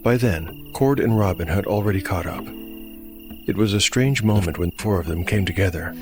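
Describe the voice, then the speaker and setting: A man with a deep voice narrates as host and game master of a fantasy audio drama. His delivery is scripted storytelling, set over a clear music bed. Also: moderate pace.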